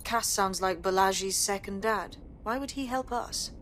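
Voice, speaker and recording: A woman's voice: medium pitch, normal speed, fairly bright tone, a little hiss or hum, close to an everyday voice. A woman playing a serious, determined resistance leader in a video game cutscene, earnest and businesslike. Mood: concerned, thoughtful.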